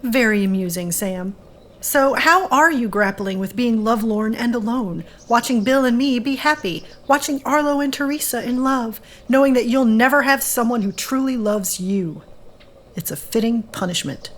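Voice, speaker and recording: A woman's voice: on the high side, moderate pace, natural voice. A woman playing a blunt, defiant character in an audio drama, dry and pointed in delivery. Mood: cutting.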